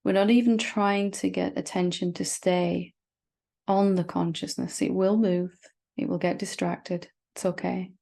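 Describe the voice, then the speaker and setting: A woman leading a guided meditation as a spiritual teacher, speaking gently and reassuringly with long, unhurried pauses. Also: on the low side, little pitch movement, vocal fry.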